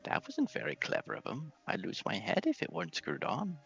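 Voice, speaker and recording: A man's voice: wildly swooping pitch, brisk, gravelly, a bit bright, lo-fi sound, faint music behind, heavily acted. A man voicing a befuddled, pompous, scatterbrained old wizard from a fantasy game. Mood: indignant, befuddled. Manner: pompous, befuddled, swooping into high pitch. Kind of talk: game dialogue read in character.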